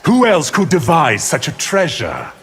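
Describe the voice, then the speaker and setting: An actor voicing a cold, scheming, arrogant sorcerer in a video game, haughty and deliberate. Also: male voice, a little high, huge pitch swings, fairly bright tone, slightly rough, full character voice. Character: cold, scheming, arrogant male sorcerer, power-hungry villain. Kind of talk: video game villain dialogue.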